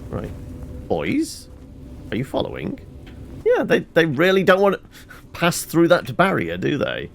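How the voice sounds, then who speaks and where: A man chats through a video game in a casual, playful way, as if thinking aloud. His pitch swings very widely and his voice has a gravelly creak. There is clear noise and ambience behind him. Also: huge pitch swings, measured pace. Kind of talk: casual gameplay commentary.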